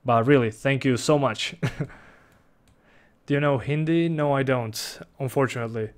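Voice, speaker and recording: Relaxed young man with Rioplatense accent. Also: mid-range voice, measured pace. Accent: Rioplatense Spanish. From Uruguay. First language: Spanish. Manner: relaxed, reads questions aloud, chuckles often. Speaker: streamer answering chat questions. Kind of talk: casual live stream Q&A.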